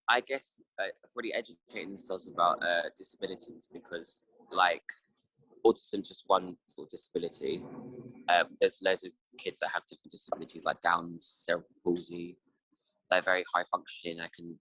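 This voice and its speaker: A man in his thirties with a low voice talks things through slowly in an interview. He fills his answers with ums and hedges. The sound is close and dry. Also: pushed and bright, dry recording, rough recording. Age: 30s. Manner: reflective, with many fillers and hedges. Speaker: interview guest. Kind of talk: casual interview talk.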